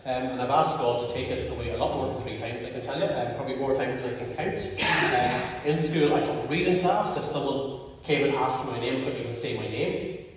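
A young clergyman from Northern Ireland who has a stammer, preaching an earnest, personal sermon with slow, weighty pauses in a church sanctuary. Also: mid-range voice, a little hiss or hum. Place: large church sanctuary, indoors. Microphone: far camera. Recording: echoey church from far off.

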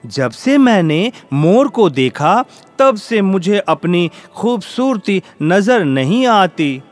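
A man's voice: high voice, sing-song, takes it slowly, faint music behind, full character voice. A man voicing a modest, discontented parrot in a children's fable, plaintive and self-doubting. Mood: plaintive, envious.

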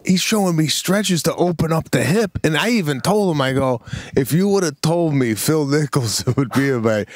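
A man's voice: fairly high pitch, normal speed, no room sound. A middle-aged male comedian co-hosting a podcast, telling stories animatedly in casual talk, acting out quotes and calling people bro, on a handheld mic in a small studio. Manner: animated, acts out quotes, repeats words, calls people bro. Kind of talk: casual storytelling.

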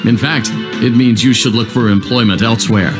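A man's voice: mid-range voice, fairly even intonation, a little fast, over music, natural voice. An actor playing a cheery, smug company announcer who delivers insults like a salesman's congratulations in an upbeat cartoon narration. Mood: cheerfully condescending.

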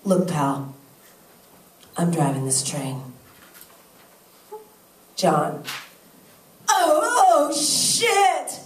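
A woman's voice: low voice, huge pitch swings, extremely slow, long pauses, slight background noise, exaggerated voice. Woman acting a brash, foul-mouthed brothel hooker, echoey. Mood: bored, tough. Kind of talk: overacted audition scene on stage. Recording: echoey room, distant microphone.

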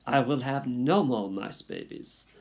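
A man's voice: medium pitch, huge pitch swings, unhurried, gravelly, a little mellow, voice pushed up, heavily acted. A man voicing a vain, melodramatic French mother mouse in a storybook, lamenting theatrically in broken phrasing. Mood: self-pitying, dramatic.

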